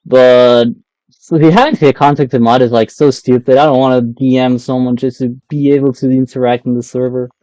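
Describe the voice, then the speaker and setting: A man's voice: medium pitch, fairly even intonation, fairly quick, mellow, no room sound, rough recording. A man with an Argentinian accent, a native Spanish speaker, chatting casually and rambling as he streams a game. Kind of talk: casual live stream chat while gaming.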